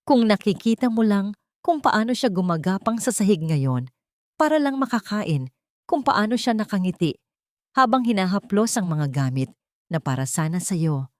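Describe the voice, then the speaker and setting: A woman's voice: medium pitch, animated, moderate pace, no room sound, studio quality, voice pushed up, close to an everyday voice. A woman narrator voicing a desperate, defiant young woman with a Filipino accent in an audio drama, pleading and emotional. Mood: anguished reproach.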